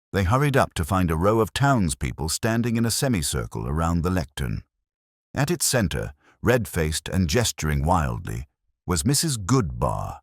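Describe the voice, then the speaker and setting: A man narrating a mystery story from a script in a measured, literary way. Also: sits low, no room sound, studio quality. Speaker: audiobook narrator.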